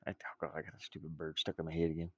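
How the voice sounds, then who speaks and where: A man's voice: rumbling low, monotone, rapid-fire, darkish tone, no echo, lo-fi sound. A man thinking aloud in casual, rambling chat as he works on game art, muttering and making playful asides. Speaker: indie game designer streaming.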